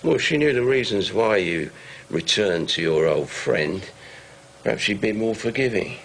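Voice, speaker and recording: An actor playing a philosophical, chilling prisoner and confessed murderer, with gravelly, formal, deliberate speech. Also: male voice, on the low side, lively intonation, fairly bright tone, slight background noise. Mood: measured, knowing. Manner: formal, literary, deliberate wording. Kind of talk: screen drama acting.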